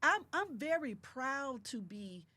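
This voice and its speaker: High-voiced emphatic woman in her fifties. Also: big pitch swings, takes it slowly, pushed and bright, dry recording. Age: 50s. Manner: emphatic, preacher-like repetition of affirmations. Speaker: guest, author. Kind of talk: motivational conversation. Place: podcast studio.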